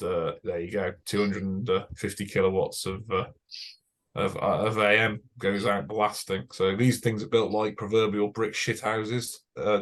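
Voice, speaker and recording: Chatty British man explaining transmitters. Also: lowish voice. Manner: chatty and self-correcting, many fillers. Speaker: broadcast engineer giving a club talk. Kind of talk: informal technical presentation. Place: club meeting room.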